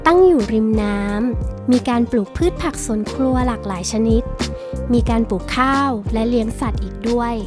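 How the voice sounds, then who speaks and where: A woman with a high, bright-toned voice narrates a travel vlog in a cheerful, friendly and polite way. Music plays clearly behind her throughout. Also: lively intonation, moderate pace. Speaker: vlog host reviewing a cafe. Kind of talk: scripted travel voice-over. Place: voice-over, not recorded on location. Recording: voice-over with clear background music. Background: background music.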